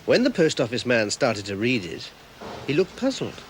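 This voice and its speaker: A man giving plain, measured scripted narration for a children's programme. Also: mid-range voice, wildly swooping pitch, noisy background. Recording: narration over music. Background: music.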